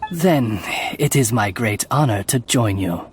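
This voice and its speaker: A man's voice: medium pitch, expressive, a little slow, over music, lightly acted. A man voicing a loyal raven familiar in a video game, speaking as a dignified, deferential servant with elaborate formality. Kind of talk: video game character acting.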